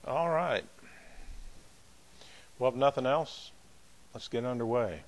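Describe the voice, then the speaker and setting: A man's voice: medium pitch, animated. A man leading a Bible study as pastor, chatting in a folksy, easygoing way between readings. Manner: folksy, chatty asides with light laughs; measured, emphatic scripture reading.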